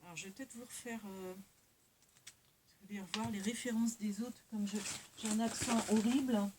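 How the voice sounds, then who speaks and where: A woman with a mid-pitched voice chats informally, as if showing crafts to her viewers. She is hesitant and wanders through her sentences, correcting herself often. The recording has clear background noise and hiss. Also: steady tone, moderate pace. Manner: hesitant, rambling, self-correcting. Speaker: craft vlogger. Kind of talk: casual haul chat to viewers, showing items.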